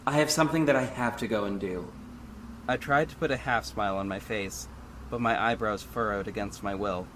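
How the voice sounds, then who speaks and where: An actor playing an earnest superhero who narrates his own story in a measured, serious audio drama style. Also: fairly low pitch, fairly bright tone, slight background noise, close to an everyday voice, poor audio. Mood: guarded. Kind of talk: scripted first-person audio drama narration.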